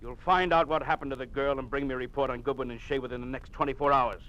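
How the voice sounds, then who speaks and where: A male actor plays a stern, menacing police boss in vintage radio style. His voice sits at a middle pitch. He speaks with clipped, accusing authority. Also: steady tone, quick pace, fairly bright tone, natural voice, rough recording. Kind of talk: old-time radio drama acting.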